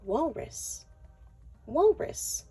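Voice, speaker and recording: Casting: female picture-book reader, extremely slow and very mellow, sing-song, sometimes near whispering. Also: high-pitched, very animated, soft background music. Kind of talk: expressive picture-book read-aloud for young children.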